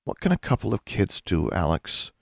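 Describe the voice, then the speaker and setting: A man voicing a quiet, cautious, gentle schoolgirl in an audiobook. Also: sits low, animated, a little fast, dry recording. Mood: dejected. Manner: soft, subdued.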